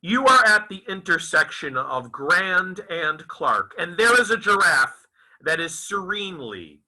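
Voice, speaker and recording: A man reading literary prose aloud as its author, in a dramatic, deliberate recitation with halting phrases and emphatic enunciation. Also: high-pitched, very animated, bright, forceful tone, rough recording. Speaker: author reading his own novel aloud.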